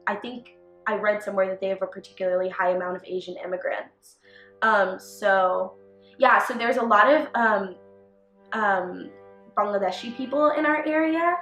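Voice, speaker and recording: A young woman from Texas talks through her answers slowly and thoughtfully, pausing often on "um". The room around her is noticeably echoey. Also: medium pitch, a bit bright. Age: young adult. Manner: thoughtful, many "um" pauses. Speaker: interviewee, college junior. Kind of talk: casual documentary interview. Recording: roomy echo.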